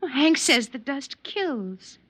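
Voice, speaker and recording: An actress playing a gentle, fearful young wife in an old-time radio drama, speaking earnestly and emotionally with a breathy voice. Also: female voice, sits high, big pitch swings, slow, exaggerated voice. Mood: curious, wistful. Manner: earnest, emotional, hanging on words. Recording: dull vintage radio recording.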